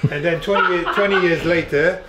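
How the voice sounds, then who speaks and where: Man, middle-aged, from France, collector guest, lively storyteller, slightly high voice, echoey room. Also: normal speed, heavy background noise. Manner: animated anecdotes, laughing often. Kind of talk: storytelling interview. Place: dim indoor flat room.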